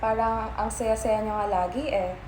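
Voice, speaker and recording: A woman's voice: medium pitch, steady tone, moderate pace, a little mellow, lightly acted. A Filipino woman playing a polite, agreeable young-at-heart newcomer in an amateur dramatic reading. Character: polite, agreeable female bank employee, newcomer. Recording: echoey room, faint background noise.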